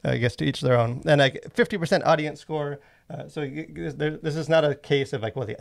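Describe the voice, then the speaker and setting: A man's voice: a little high, brisk, vocal fry. A man hosting a casual podcast, rambling with many asides into a close studio mic. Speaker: podcast host and movie reviewer. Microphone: close boom-arm studio mic.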